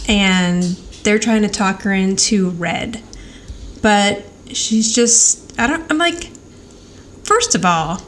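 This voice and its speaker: A woman's voice: mid-range voice, expressive, takes it slowly, fairly bright tone. Chatty American woman with noisy background. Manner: chatty, giggly, retells scenes with quoted dialogue. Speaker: podcast co-host. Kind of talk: casual TV rewatch podcast chat.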